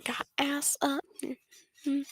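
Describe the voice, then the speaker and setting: A woman's voice: high voice, completely flat delivery, slow pace, fairly bright tone. A woman livestreamer chatting casually and unscripted, thinking aloud in a scattered, apologetic way. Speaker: live streamer.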